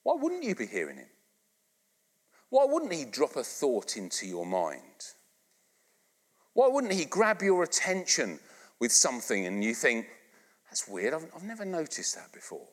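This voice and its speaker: A man's voice: medium pitch, a little slow, pauses often. Fired-up man preaching in a reverberant hall. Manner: animated, conversational, asks the congregation to repeat lines. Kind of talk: sermon. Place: church hall, live congregation.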